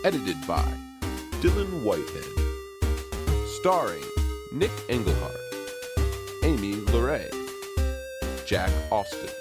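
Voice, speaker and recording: A man's voice: fairly low pitch, wildly swooping pitch, takes it slowly, pauses often. A man narrating a comic fantasy drama from a script in a mock-dramatic manner. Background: loud music.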